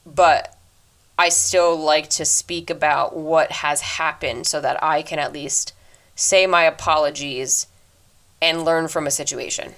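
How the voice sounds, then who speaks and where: A woman in her twenties hosting a solo podcast, talking earnestly and reflectively into her microphone, fast by habit, with a deep voice. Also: fairly even intonation, fairly bright tone. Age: 20s. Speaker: podcast host. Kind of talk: casual solo advice talk.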